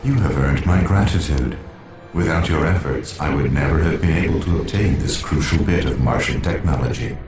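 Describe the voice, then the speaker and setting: An actor playing a cold, calculating alien machine supervillain in a video game, speaking in a measured, condescending, formal way. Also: male voice, extremely low pitch. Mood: smug. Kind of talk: video game cutscene acting. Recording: echoing voice over music.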